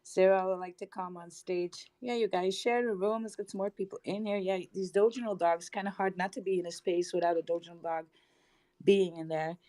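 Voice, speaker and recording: A bubbly woman hosts a live online audio chat, her voice sitting a little low for a woman. She talks in a loose, chatty stream, laughing easily and trailing off before she finishes a thought. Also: fairly even intonation, fairly quick, dark tone. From Netherlands. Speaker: host of a live crypto audio space.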